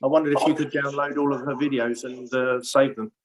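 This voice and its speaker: A man's voice: medium pitch, level tone, brisk, low-quality recording. Easygoing man chatting on a call. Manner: easygoing, laughs often, rambling. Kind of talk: video call chat.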